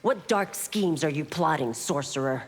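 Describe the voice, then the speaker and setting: A resolute video game lawkeeper and warrior. The voice is deep for a woman, stern and formal. It rings with reverb over clear background noise. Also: animated, moderate pace. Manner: firm, formal, uncompromising. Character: stern, resolute female law officer and warrior. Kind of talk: video game heroine acting.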